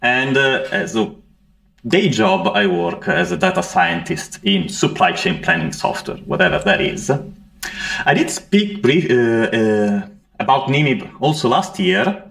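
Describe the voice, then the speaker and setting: A man's voice: mid-range voice, vocal fry, a bit bright. A man from Italy with an Italian accent presenting at a conference, hesitant with many fillers. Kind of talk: conference talk.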